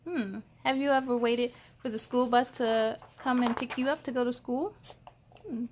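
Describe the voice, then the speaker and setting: A woman hosting a children's read-aloud, expressive, with chatty asides and questions for young listeners. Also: fairly high pitch, moderate pace, slight background noise. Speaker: story time host.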